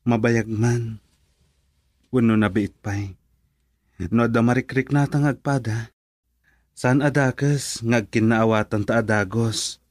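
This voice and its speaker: A man's voice: mid-range voice, slow, pauses often, a little mellow, no echo, studio quality, lightly acted. A male actor with a Filipino accent playing an earnest young man in love, hesitant and pleading, in a romantic radio drama. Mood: earnest, lovestruck.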